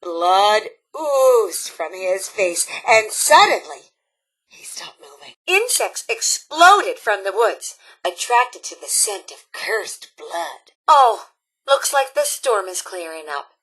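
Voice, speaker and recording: Bright, animated robot scout leader. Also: female voice, mid-range voice, slow, slightly rough, full character voice. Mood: spooky, suspenseful. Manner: theatrical, folksy, encouraging. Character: cheerful, motherly robot scout leader telling spooky campfire tales. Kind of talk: video game voice acting, campfire storytelling.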